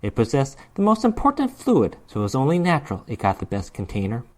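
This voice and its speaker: Male narrator for horror fantasy fiction, mid pitch, theatrical and emphatic storytelling. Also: wildly swooping pitch, fast talker, darkish tone. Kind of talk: dramatic reading of fantasy horror prose.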